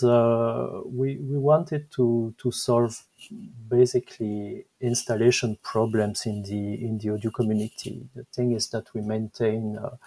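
A Belgian man in his fifties with a French accent, a podcast guest answering questions in a thoughtful, halting way as he searches for words. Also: medium pitch, dark tone, rough recording. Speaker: guest, software engineer. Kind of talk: interview answers.

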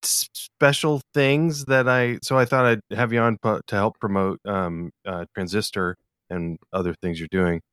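A man from the US Pacific Northwest with an American accent, hosting a casual podcast interview in a halting, rambling way. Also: on the low side, steady tone, a bit bright, smooth, no room sound, studio quality. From US Pacific Northwest, eastern Washington. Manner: halting, rambling, hesitant delivery.

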